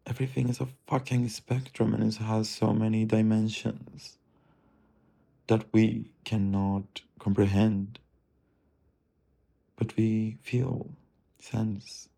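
Man, low voice, very slow, musing self-talk, profane, drifting. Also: steady tone, creaky, warm tone, breathy edge. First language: Turkish. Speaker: streamer. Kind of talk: rambling self-talk monologue.

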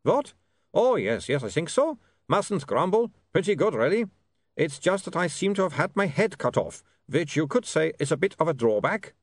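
A long-suffering, fussy vampire from a comic storybook. The voice is pitched up and very animated, with stilted, courteous phrasing and a dry, close sound. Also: male voice, moderate pace, dry recording. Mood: shaken, understated. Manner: stilted, formal phrasing with odd idioms. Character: polite, fussy, long-suffering foreign male vampire photographer.

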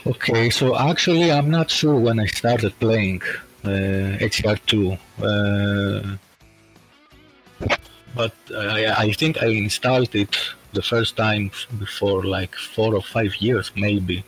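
A man from Greece chatting as a podcast guest, speaking slowly and hesitantly with lots of fillers. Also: fairly low pitch, faint music behind, poor audio. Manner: slow and hesitant, many fillers. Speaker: podcast guest, bot creator. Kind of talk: casual chat.

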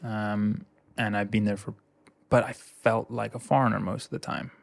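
A man's voice: fairly low pitch, little pitch movement, normal speed, gravelly. A young man in his twenties from Indonesia, a podcast guest telling his story in an easygoing, chatty way. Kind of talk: casual interview chat.

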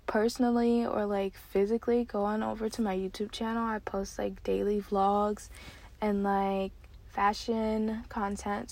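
A nineteen-year-old woman hosting her own podcast, chatting casually and excitedly with lots of fillers from a parked car. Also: mid-range voice, no room sound. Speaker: podcast host. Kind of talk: casual solo podcast chat.

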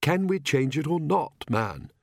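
A man's voice: on the high side, expressive, unhurried, gravelly, voice pushed up, full character voice. A man voicing a flustered, hesitant king who tries to be commanding in a storybook reading. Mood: impatient. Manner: hesitant, fussy. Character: flustered, anxious king, hesitant yet peremptory.

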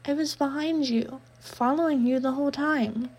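Creepy-sweet teen girl, high and syrupy, performed by a woman reader. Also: fairly even intonation, moderate pace, a little mellow, natural voice. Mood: eerie sweet. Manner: singsong, then pleading in pain. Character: sweet creepy teenage girl, clingy and menacing.

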